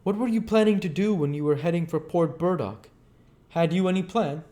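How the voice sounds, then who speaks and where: A guarded, educated gentleman from a storybook, played by a man reading aloud. He is dry and measured, probing carefully, with his voice lifted in pitch. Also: lightly acted.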